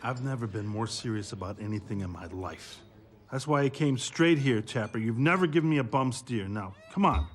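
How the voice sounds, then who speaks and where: Male actor as a big, downtrodden cartoon game villain with a kind heart, blunt and pleading, mid-pitched. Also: animated, normal speed, natural voice. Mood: earnest, pleading. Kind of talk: animated film voice acting.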